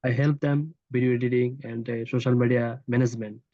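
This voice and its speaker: A man's voice: mid-range voice, level tone, a little fast, no room sound. A man from Bangladesh with a Bangladeshi accent, a freelancer speaking hesitant English with many fillers on a video call. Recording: dull dry video call audio.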